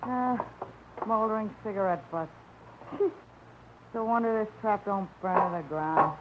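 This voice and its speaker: An actor voicing a nosy, sassy middle-aged cleaning woman in a high put-on voice, shrill and indignant, in an old radio drama. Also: lively intonation, a little slow. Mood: grumbling. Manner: shrill, indignant, street-talking. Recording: muffled old radio recording with hiss.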